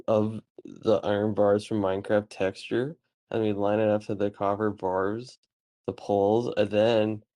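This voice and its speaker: Low, creaky-voiced young man telling stories. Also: a little mellow, dry recording. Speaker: podcast member. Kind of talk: improvised deadpan comedy storytelling.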